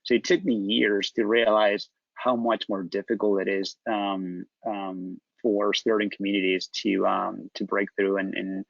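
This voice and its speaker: A man's voice: on the low side, flat delivery, vocal fry, no echo, dull audio. A middle-aged man from Spain with a Spanish accent, speaking reflectively as a panelist with frequent restarts. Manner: reflective, halting with repeated restarts. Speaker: company founder, panelist. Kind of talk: panel discussion.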